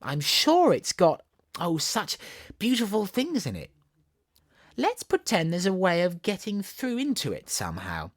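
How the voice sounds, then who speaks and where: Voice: mid-range voice, wildly swooping pitch, measured pace, pitched above the performer's own voice. A storybook narrator with a Southern English accent voicing a chatty, imaginative, bossy little girl who is playful and full of wonder. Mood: dreamy, wishful.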